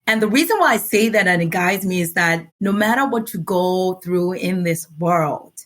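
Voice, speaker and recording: A woman from Nigeria, speaking American English with a light Yoruba tinge, talks as a guest on a podcast. She is earnest and reflective, laughs easily, and slows down to stress her points. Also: lowish voice, animated, fairly bright tone. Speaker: guest author. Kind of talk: relaxed podcast interview.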